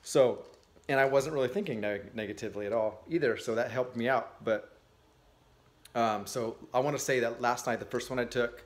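A man's voice: medium pitch, normal speed. A man in his thirties vlogs into a handheld phone in a cluttered garage. He talks in a loose, candid ramble, stopping and restarting his sentences with plenty of fillers. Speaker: vlogger. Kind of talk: casual vlog. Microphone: phone at arm's length.

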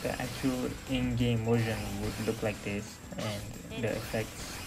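A young man giving casual gameplay commentary in a hesitant, halting way. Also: on the low side, little pitch movement, low-quality recording. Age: young adult. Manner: hesitant, halting delivery with trailing phrases. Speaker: gaming video creator. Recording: loud music and game audio behind. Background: loud music.

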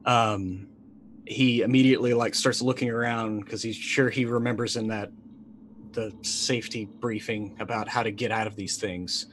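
A man in his forties describes his tabletop game character off the cuff. His voice is fairly low and he thinks aloud in halting phrases, with faint noise on the video call. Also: fairly even intonation, fairly bright tone. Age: 40s. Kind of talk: improvised third-person character description.

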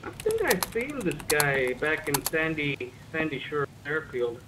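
Higher-voiced man muttering over noisy voice chat. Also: big pitch swings, unhurried. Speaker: roleplay player acting as a medic. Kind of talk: casual improvised in-character game roleplay.

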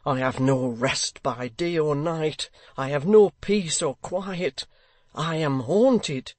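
A man reading aloud, voicing a troubled middle-aged gentleman who speaks formally, with urgent emotion. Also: on the high side, measured pace, dry recording. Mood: haunted. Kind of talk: audiobook character voice.

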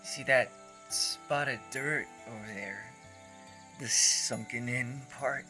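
A man's voice: medium pitch, slow pace, pushed and bright, faint music behind. A man playing an eager, awkward, lovestruck amateur poet in a horror audio drama, earnest and nervous. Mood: eager, proud.

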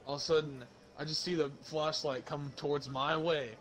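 A young man casually telling stories to friends while gaming, slangy and animated as he acts out the dialogue. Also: a little high, normal speed, soft background music. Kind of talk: casual storytelling among friends during online game.

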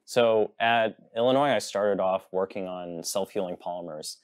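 A man's voice: low voice, normal speed, no echo. A man in his thirties from Massachusetts, speaking earnestly as a new faculty member on a studio panel, with frequent ums. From Massachusetts, USA. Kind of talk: panel discussion. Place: television studio set.

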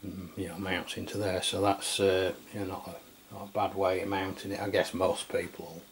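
Low-voiced man over background noise. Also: flat delivery, normal speed. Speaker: radio product reviewer. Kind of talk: casual unscripted product demonstration. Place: indoors at a tabletop. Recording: clear background noise, room ambience.

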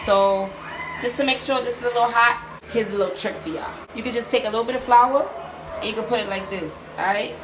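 A young woman filming a cooking video on her phone in her home kitchen, chatting to viewers in a relaxed, slangy way. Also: a little high, brisk. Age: young adult. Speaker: cooking vlogger. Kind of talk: casual cooking demo. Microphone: handheld phone camera. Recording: sizzling pan noise behind. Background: oil frying and sizzling.

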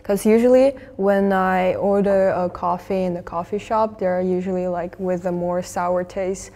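A woman's voice: lowish voice, fairly even intonation, measured pace, warm tone, long reverb tail. A woman in her early twenties from Sweden, talking casually as a student guest on a panel. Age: early 20s. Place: large indoor cafe-like room. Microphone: lapel.

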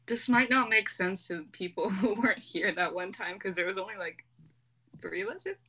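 A woman's voice: mid-range voice, normal speed, bright, forceful tone, slightly rough. A woman in her twenties chatting casually with a group on an online livestream. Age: 20s. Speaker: writer and reader on a group stream. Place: indoors at home, video call.

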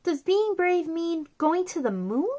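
High-pitched woman hosting children's story time. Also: measured pace. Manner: expressive, simple child-directed delivery. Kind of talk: children's read-aloud and chat to young viewers.

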